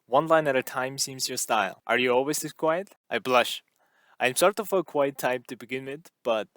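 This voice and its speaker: A man reading a novel aloud in calm, even first-person narration. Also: mid-range voice, no echo, studio quality. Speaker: audiobook reader.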